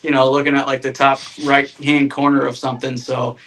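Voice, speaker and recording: A man's voice: mid-range voice, level tone, fairly quick, fairly bright tone. A man in his thirties from Northern Indiana, a podcast guest talking casually and self-deprecatingly, with many fillers and restarts. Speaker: guest, RV sales manager.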